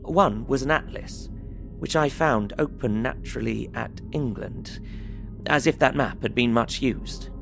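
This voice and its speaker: An actor playing an earnest, polite young English solicitor, narrating his journal in measured, bookish Victorian phrasing for an audio drama. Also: mid-range voice, creaky, over music, poor audio. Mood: measured, matter-of-fact.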